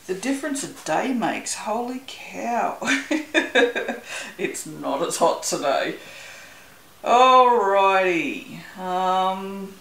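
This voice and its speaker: Aussie woman rambling slow while she works, laughs a lot, echoey room w faint hiss. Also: mid-range voice, a bit bright. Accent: Australian. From Australia. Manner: chatty, rambling, laughs often, swears casually. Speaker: soap-making channel host. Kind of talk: casual chat while working.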